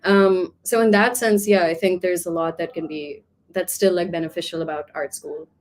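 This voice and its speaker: A woman's voice: on the low side, fairly even intonation, moderate pace. A woman from Pakistan, an art professor, answering interview questions in a reflective, talkative way with many casual fillers.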